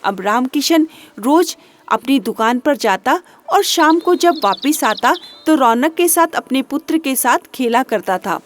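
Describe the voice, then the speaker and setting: High-voiced, slow-paced woman storyteller. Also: expressive. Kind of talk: scripted children's moral story narration.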